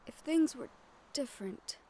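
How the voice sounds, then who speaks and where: A woman voicing a gentle, wistful young noblewoman in a romantic fantasy audio roleplay, speaking softly with formal, measured diction and tender pauses. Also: high-pitched, expressive. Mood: wistful, regretful.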